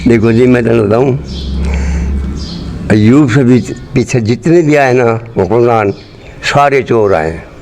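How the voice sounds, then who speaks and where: A man's voice: mid-range voice, unhurried, pauses often, a little mellow. A very old man with a Haryanvi accent, telling memories in a blunt, earthy, rambling way in an interview. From Rohtak, Haryana, pre-partition India. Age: 80s or older. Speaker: interviewee, partition migrant. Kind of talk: spoken reminiscence in interview. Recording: clearly noisy location sound.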